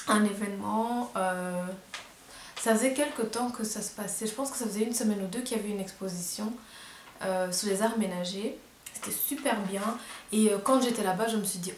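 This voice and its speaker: A woman's voice: medium pitch, roomy sound, slight background noise. A woman in her twenties with a Belgian French accent, chatting casually and hesitantly to camera in a vlog, with many fillers. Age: 20s. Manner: chatty, hesitant, full of fillers and self-deprecating laughs. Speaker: vlogger.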